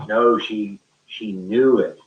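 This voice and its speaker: A man's voice: lowish voice, fairly even intonation, slow pace. Distant, echoey man telling a rambling story. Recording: roomy echo, distant mic, muffled sound.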